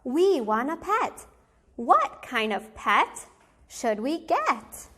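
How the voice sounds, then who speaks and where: A woman reads a picture book aloud as a kindergarten teacher would. Her voice sits high, and she takes her time over each phrase. The delivery is animated storytelling aimed at young children. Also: extremely slow.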